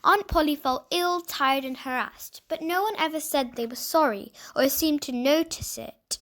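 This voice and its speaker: Female storybook reader, high-pitched, narrating children's fiction. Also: moderate pace, a bit bright. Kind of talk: children's novel read aloud.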